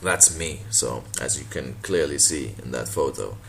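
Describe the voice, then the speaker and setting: A man from Malta, a native Maltese speaker, with a deep voice, talking casually and sardonically in a response video, laughing and swearing as he goes. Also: moderate pace, vocal fry, a bit bright, slight background noise. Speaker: boxing channel vlogger.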